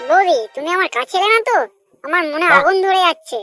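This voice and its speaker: A man voicing a lustful young village lover in a comic cartoon, crude and full of flattery. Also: very high voice, quick pace. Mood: coaxing. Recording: loud children's music behind. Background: children's music.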